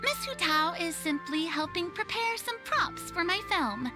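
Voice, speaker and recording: A woman's voice: very high voice, very animated, unhurried, over music, exaggerated voice. A woman playing a refined, easily flustered young female celebrity in a video game, speaking formally and theatrically. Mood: indignant. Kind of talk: English video game voice acting.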